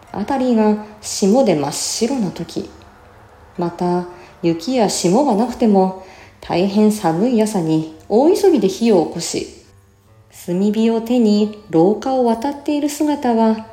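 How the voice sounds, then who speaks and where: Female literary reader, mellow timbre, careful diction and measured delivery, roomy sound with faint music underneath. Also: medium pitch. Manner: careful, clearly enunciated, measured. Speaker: reciter and voice actor. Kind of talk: literary recitation of a classical essay.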